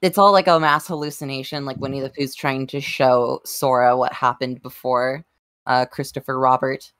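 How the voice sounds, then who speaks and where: Female podcast panelist, notably low voice, dry close recording, informal conversational delivery. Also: fairly even intonation, moderate pace, fairly bright tone, no echo. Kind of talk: casual chat.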